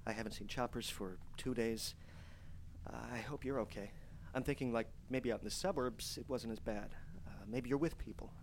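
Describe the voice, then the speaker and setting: An actor playing a frightened young man trapped alone, recording a halting, stammering diary in a horror audio drama. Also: medium pitch, a little hiss or hum, lightly acted, rough recording. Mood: anxious, tender.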